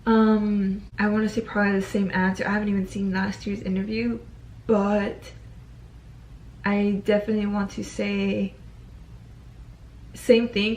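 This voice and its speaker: Twenty-something woman vlogging to camera, lots of fry, kinda echoey room, chatty and hesitant. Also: mid-range voice, flat delivery, moderate pace, a little mellow, slight background noise. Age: 20s. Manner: chatty, hesitant, restarts with ums. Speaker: YouTuber. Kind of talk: casual to-camera yearly check-in.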